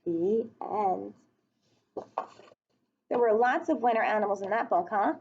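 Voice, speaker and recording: A woman's voice: mid-range voice, a little slow, muffled recording, background noise. A woman reading a picture book aloud to young children, speaking expressively and putting questions to her listeners.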